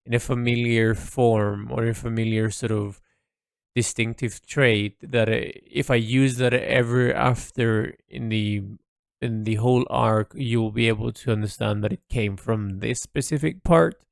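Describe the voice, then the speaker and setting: A man talks through their creative work in a casual solo monologue. The voice has a gravelly, frying edge and sits very dry and close, with no room around it. They feel their way through sentences hesitantly, hunting for the next word. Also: medium pitch, dry recording. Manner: hesitant, searching for words, trailing into "sort of". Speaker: artist and writer keeping an audio log of their project.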